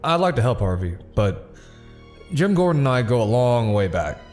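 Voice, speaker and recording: A man's voice: mid-range voice, sing-song, normal speed, a little mellow, faint music behind, natural voice. A man playing a brooding, principled vigilante in an audio drama, terse and serious. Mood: troubled, resolute.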